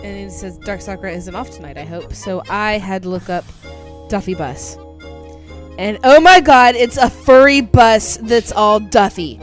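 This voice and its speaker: A woman's voice: mid-range voice, moderate pace, faint music behind. A woman co-hosting a podcast, reading listener emails aloud in a casual, gushing way that is peppered with swearing and ums. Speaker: podcast co-host.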